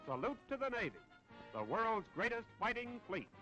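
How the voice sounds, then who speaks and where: A man's voice: high voice, big pitch swings, normal speed, dull audio. An American man narrating a 1940s newsreel in a dramatic, emphatic, declamatory patriotic style. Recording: vintage 1940s newsreel soundtrack, loud music. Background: loud music.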